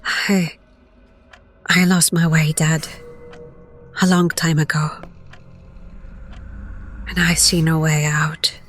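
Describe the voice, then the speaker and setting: Woman acting young haunted adventurer, tense forceful voice, slow and halting, anguished, audio drama. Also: sits low, little pitch movement. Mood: lost, sad. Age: young adult. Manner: anguished, halting, breathy at times. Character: haunted, grieving young adventurer facing a dead parent.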